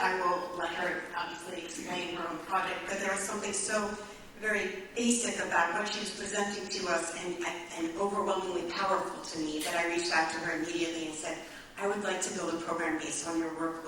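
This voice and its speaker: A woman hosting as artistic director, giving an informal, earnest and somewhat halting welcome speech to a live concert audience. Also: on the low side, a bit bright. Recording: very echoey hall, distant mic, faint noise.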